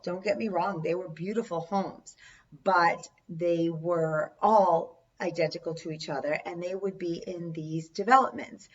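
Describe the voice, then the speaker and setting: A middle-aged woman who is losing her voice hosts her own channel and tells a true haunting story in a chatty, earnest way with small asides. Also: on the low side. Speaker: channel host. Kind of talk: storytelling vlog. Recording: distant, dull lo-fi sound.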